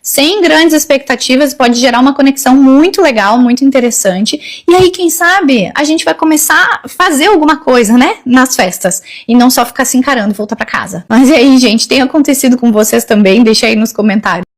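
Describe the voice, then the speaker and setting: A woman's voice: sits high, brisk, few pauses. A woman chatting to viewers about dating, exasperated, often turning to them directly. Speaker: single woman giving dating views. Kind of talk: casual chat to camera.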